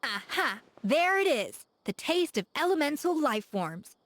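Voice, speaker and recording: Self-assured, teasing chef. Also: female voice, high voice, big pitch swings, unhurried, a bit bright, exaggerated voice. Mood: musing. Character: confident, playful young woman chef, proud and curious. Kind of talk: game character voice lines.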